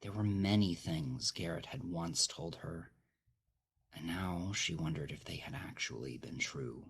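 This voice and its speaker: A man's voice: low voice, measured pace, vocal fry, a bit bright, slight background noise. A man narrating scripted dramatic fiction as a storyteller. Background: plucked guitar music.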